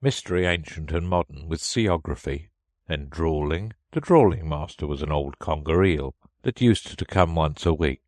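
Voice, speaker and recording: A man performs a sorrowful storybook turtle with a very low, hollow voice. The creature sighs through its words and takes offence easily, with a pitch that swells and drops expressively. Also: expressive, darkish tone, no echo. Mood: wistful. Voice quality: deep, hollow tone. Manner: slow, mournful, sighing. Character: melancholy, sighing old Mock Turtle, easily offended. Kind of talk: storybook character reading.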